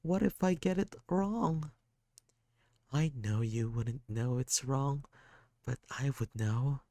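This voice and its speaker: A sad, quick-tempered kid, as a man puts the voice on for a storybook. The voice sits well above a grown man's and comes out strained and close to tears. It is slow and dry. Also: medium pitch, warm tone, no room sound, voice pushed up. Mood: weary. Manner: raised, strained and tearful.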